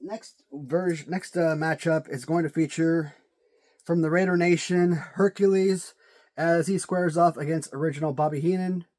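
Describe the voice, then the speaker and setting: A man's voice: fairly high pitch, fairly even intonation, moderate pace, a little mellow. A man giving casual video commentary on his action figures, chatty and prone to restarting words, his voice sore and cracking. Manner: chatty, repeats words while thinking. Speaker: toy collector reviewing action figures.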